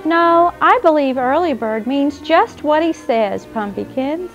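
A woman playing a sweet, nurturing clown who hosts a children's show, with a gentle, encouraging manner. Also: high-pitched, expressive, slow pace, muffled recording, soft background music, heavily acted. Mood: gentle, caring. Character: sweet, nurturing young female clown hostess.

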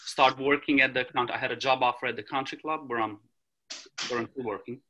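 A man's voice: mid-range voice, fairly even intonation, bright, forceful tone, a little hiss or hum, rough recording. A man in his forties from Romania with a Romanian accent, a tennis coach talking casually on a video call, full of ums and restarts. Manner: halting, many ums and restarts. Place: small room at home. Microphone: video call, laptop camera.

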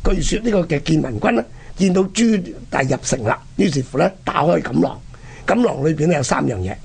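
A man with a Hong Kong Cantonese accent and a husky, gravelly voice, telling history conversationally and dramatically as a radio presenter. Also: a little high, expressive, fairly quick. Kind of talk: animated conversational history storytelling.

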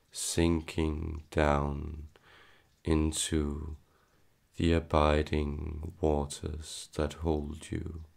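A man leading a guided meditation in a solemn, hypnotic manner, halting between short soothing phrases. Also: a bass voice, vocal fry, a little mellow.

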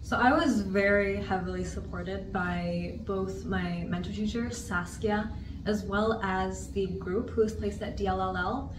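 A woman's voice: medium pitch, steady tone, unhurried, noisy background. Composed young woman, distant roomy sound. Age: 20s. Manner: composed, articulate, fluent. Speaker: TESL practicum student. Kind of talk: interview answers. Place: plain studio room, standing.

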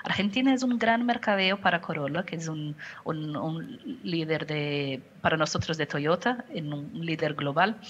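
A middle-aged Brazilian woman speaks Spanish with a Portuguese accent, her voice slightly low. She answers over a headset on a video call, pausing to pick words and restarting phrases. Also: lo-fi sound. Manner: careful, searches for words, frequent restarts. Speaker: company communications director. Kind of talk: panel interview answer. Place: home office, indoors.

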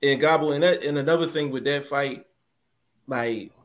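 A man's voice: mid-range voice, normal speed. A man co-hosting a boxing chat over a video call, laid-back and drawn out. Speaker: co-host.

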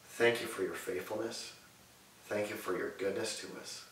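A man in his thirties preaching and praying earnestly from a sofa in a living room, measured and repetitive in his phrasing. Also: on the low side, fairly bright tone, recorded from a distance. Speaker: pastor. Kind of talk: sermon and prayer. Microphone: far camera mic. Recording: echoey room audio with faint hiss.

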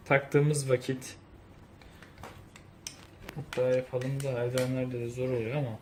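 A man giving a casual unboxing review, thinking aloud in an informal way. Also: medium pitch. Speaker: product reviewer. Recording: roomy echo, faint background noise.

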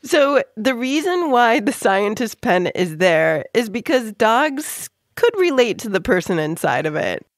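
A woman's voice: fairly high pitch, sing-song, measured pace, hardly any pauses, no room sound, studio quality. A woman hosting a children's science podcast, explaining things in a playful, scripted narrating style. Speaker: podcast host.

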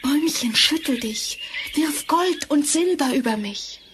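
Wistful young fairy-tale heroine: gentle, high, unhurried, declaimed radio-play delivery. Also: female voice, animated. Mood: awed, dreamy. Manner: dreamy, declaimed. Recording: vinyl record transfer with clear music.

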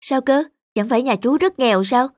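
A man's voice: extremely high pitch, a little fast, no room sound. Humble cartoon villager, poor father, earnest, plaintive, music behind. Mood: worried. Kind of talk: cartoon folk tale acting.

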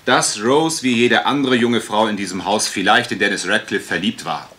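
An actor playing a politely relentless English gentleman detective in a German radio crime drama, questioning with insinuation. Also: lowish voice, normal speed. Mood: insinuating, pressing.

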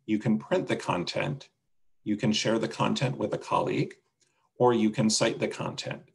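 A man leads a casual software demonstration over a video call. His voice is on the low side with noticeable vocal fry. He explains each step in a plain, instructional way. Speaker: webinar presenter demonstrating a website.

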